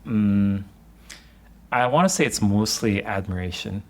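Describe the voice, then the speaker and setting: A man's voice: fairly low pitch, measured pace. A man co-hosting a podcast, speaking in an easygoing, slightly hesitant casual way and chuckling mid-sentence. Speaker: podcast co-host. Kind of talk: casual conversation.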